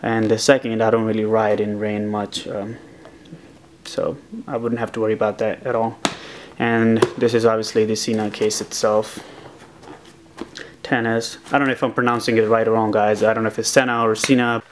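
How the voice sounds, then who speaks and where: A man's voice: on the low side, normal speed, slight background noise. A young man casually showing his helmet gear in a home office, rambling with lots of uh and um fillers. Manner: relaxed, rambling with many uh and um fillers. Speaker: motovlogger. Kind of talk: casual vlog, gear walkthrough. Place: small home office, indoors.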